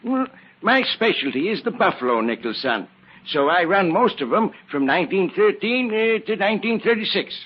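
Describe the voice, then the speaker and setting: An actor playing a feeble, folksy old counterfeiter with a cackling, raspy voice in an old-time radio drama. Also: male voice, high-pitched, big pitch swings, normal speed, full character voice. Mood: confiding. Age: older. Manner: folksy, rambling, chuckling to himself. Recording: muffled old radio recording.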